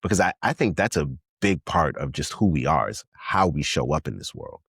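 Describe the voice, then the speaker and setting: Brooklyn guy hosting a podcast, low voice, chill and thoughtful, dry mic. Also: normal speed, vocal fry, breathy edge, no room sound. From New York. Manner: relaxed, reflective, repeats guest's points back. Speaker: podcast host. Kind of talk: conversational interview.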